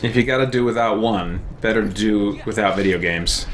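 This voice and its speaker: A man streaming video games, chatting casually in a loud, expressive way. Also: on the low side, moderate pace, slight background noise. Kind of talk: casual chat on a livestream.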